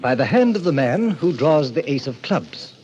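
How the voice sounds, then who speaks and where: An actor playing a suave, sinister middle-aged club president, theatrical and courtly, in 1940s radio drama style. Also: male voice, a little high, very animated, normal speed, darkish tone. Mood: smoothly sinister. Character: suave, sinister fifty-year-old president of a death club. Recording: muffled old-time radio recording.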